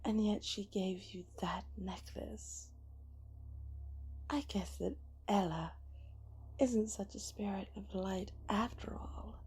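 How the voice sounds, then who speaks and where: A woman playing a cunning, vengeful female spirit of darkness in an ASMR roleplay, speaking in a breathy, taunting, deliberate and persuasive way. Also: medium pitch, steady tone, mellow, heavily acted. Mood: mocking, menacing. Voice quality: breathy whisper. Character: cunning vengeful female dark spirit, seductive punisher of the guilty.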